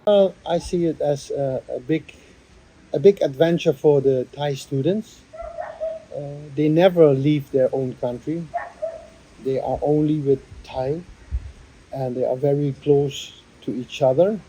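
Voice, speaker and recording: A man, a workshop coordinator, giving a reflective interview answer in a halting way, with many fillers and restarts. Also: fairly high pitch, mellow, slightly rough, low-quality recording.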